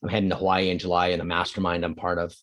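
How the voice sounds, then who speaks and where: A middle-aged man from Canada, a coach being interviewed, talking emphatically with rhetorical questions and swings between excited and quiet reflection. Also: low-pitched, very fast. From Canada (Edmonton). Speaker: real estate coach, guest. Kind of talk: casual podcast interview.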